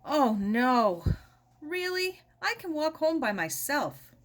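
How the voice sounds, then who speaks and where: A nervous, polite young girl from a children's storybook, acted out by a woman reader. Her voice sits high and moves in lively swings. She sounds hesitant, as if covering up a fib. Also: heavily acted. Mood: polite, eager. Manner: hesitant, halting. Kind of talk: children's storybook read aloud.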